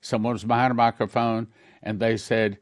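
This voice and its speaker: A man's voice: on the low side, little pitch movement, no room sound, studio quality. An older man, a pastor, earnestly recounting dreams in a sermon. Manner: earnest storytelling, reads letters aloud quickly.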